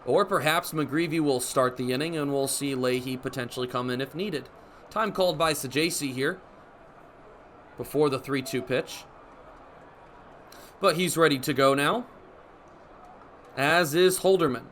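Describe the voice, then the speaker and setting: A young man delivers live baseball commentary for radio. His voice sits high and has a sharp, forceful brightness, and he describes the action in short, clipped phrases. Faint ballpark noise runs behind him. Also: a little slow, pauses often. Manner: narrates action in short clipped phrases, building to excited calls. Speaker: baseball play-by-play announcer.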